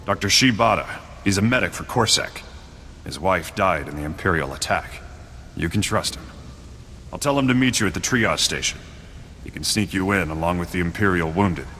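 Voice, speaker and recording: A discreet resistance fixer for a game. His voice is very low, terse and steady. It echoes over a faint background noise. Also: moderate pace. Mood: grave, instructive. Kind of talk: video game cutscene acting. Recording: reverberant, faint vehicle-like noise.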